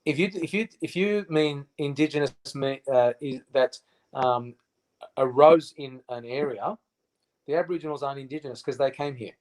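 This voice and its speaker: A man's voice: mid-range voice, moderate pace, darkish tone, low-quality recording. A man in his fifties, a guest in a casual interview, who speaks emphatically with many fillers and restarts. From Australia. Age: 50s.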